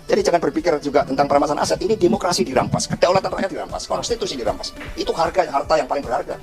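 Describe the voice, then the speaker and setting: A man's voice: a little high, lively intonation, racing. A male politician making a press statement, repeating the same words over and over for emphasis. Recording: distant mic with loud music.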